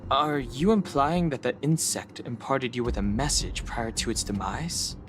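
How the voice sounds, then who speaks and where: A level-headed young scholar played by a man in a video game, with a mid-range voice. He reasons in a measured, matter-of-fact, deadpan way. Music is clearly audible underneath. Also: mid-range voice, close to an everyday voice. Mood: dry, pondering. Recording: music behind the voice.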